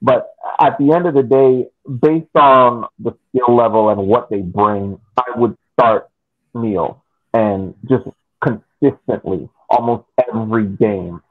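Male podcast panelist, explanatory and informal, raspy edge, narrow phone-band call audio. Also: medium pitch, fairly even intonation, slow. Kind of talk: casual soccer analysis on a podcast. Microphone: video call.

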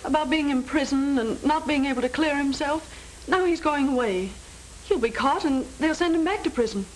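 Actress playing devoted young American woman, high voice, earnest and imploring, 1930s melodrama, faintly hissy soundtrack. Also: normal speed, close to an everyday voice, rough recording. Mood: anxious, pleading. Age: young adult. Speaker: film actor. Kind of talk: 1930s film melodrama acting.

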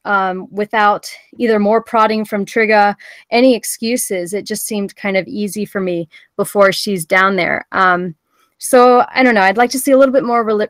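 A woman's voice: on the high side, no echo. Thoughtful woman with a dry, close sound. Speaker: script reader giving feedback. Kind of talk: spoken critique in an online group discussion.

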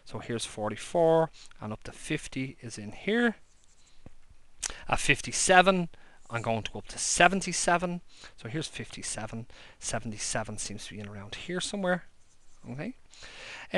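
A man's voice: medium pitch, lively intonation, moderate pace, fairly bright tone, no room sound. Man explaining maths while he draws. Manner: talks while drawing, plain step-by-step explaining. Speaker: maths lecturer. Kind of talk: handwritten whiteboard-style maths lesson. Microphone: overhead camera above a desk.

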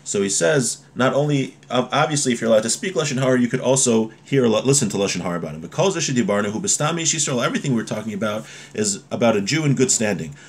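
A man's voice: mid-range voice, lively intonation, quick pace, few pauses. A man around forty giving an informal religious lesson in a conversational way, reading Hebrew phrases and explaining them with everyday examples, recorded at a desk in a home room. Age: 30s to 40s. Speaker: religious teacher. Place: small home room, indoors. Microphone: webcam or device mic at desk distance.

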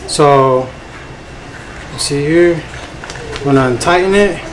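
A man's voice: on the high side, slow. Casual young man, noisy room sound. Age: young adult. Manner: informal, slangy. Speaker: family vlogger. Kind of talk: casual hands-on product tutorial. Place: living room at home with tiled floor. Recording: clear background room noise.

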